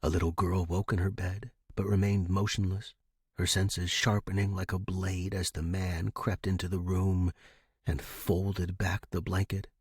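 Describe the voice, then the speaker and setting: A man reading a scripted story as a narrator, with a dramatic, expressive and suspenseful delivery. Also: sits low, measured pace, breathy edge, dry recording, clean studio sound. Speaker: audiobook-style fiction narrator.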